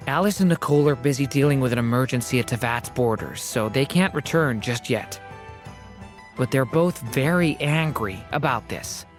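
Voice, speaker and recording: An actor playing an earnest, polite researcher ally in a video game. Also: male voice, medium pitch, normal speed, faint music behind, close to an everyday voice.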